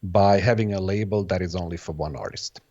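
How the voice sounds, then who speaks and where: Guest: native Bosnian-speaking man, lowish voice, halting and reflective, on a dull lo-fi recording. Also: dark tone. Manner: hesitant, restarts phrases, many "sort of" hedges. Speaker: guest, electronic music producer. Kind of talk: casual interview answers.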